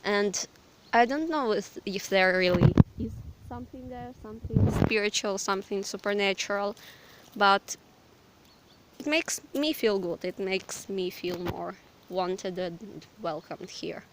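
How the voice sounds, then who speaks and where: Hoarse, apologetic Ukrainian young woman. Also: medium pitch, a little slow, noisy background. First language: Russian. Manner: hesitant, apologetic, lots of fillers. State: hoarse, sick. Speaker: vlogger. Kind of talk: casual rambling chat. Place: outdoors in a forest.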